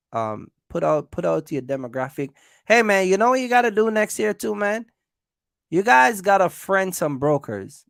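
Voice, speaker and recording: A man with a slightly high, gravelly voice talks casually as a livestream host. He addresses his viewers directly, repeating his points for emphasis. The sound is close and dry. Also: fairly bright tone, dry recording. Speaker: host of a trucking business livestream.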